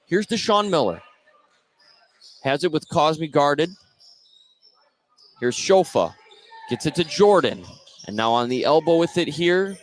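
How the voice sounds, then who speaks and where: A man commentates a basketball game live for radio. His voice sits a little higher than average for a male, and he tracks the action in short, play-by-play phrases. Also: expressive, a little slow, pauses often, slight background noise.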